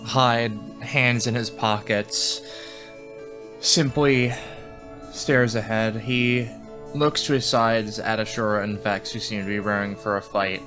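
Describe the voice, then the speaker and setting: A man speaking as a tabletop game player, describing his character in a measured, unhurried way. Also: fairly low pitch, steady tone, music in the background.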